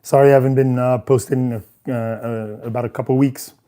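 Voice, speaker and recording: Hoarse male vlogger, hesitant and trailing, level low-key voice, dark timbre. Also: fairly even intonation. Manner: halting, many ums, trailing off. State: losing his voice. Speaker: traveling cinematographer vlogger. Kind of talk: casual vlog talk while unpacking gear. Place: hotel room.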